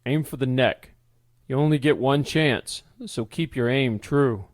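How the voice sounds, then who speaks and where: A man voicing a stern middle-aged blacksmith father who speaks in a gruff, commanding way. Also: medium pitch, measured pace, no echo, studio quality, natural voice.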